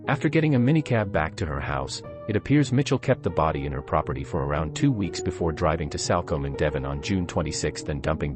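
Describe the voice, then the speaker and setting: A man reading scripted narration for a true crime documentary. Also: low-pitched.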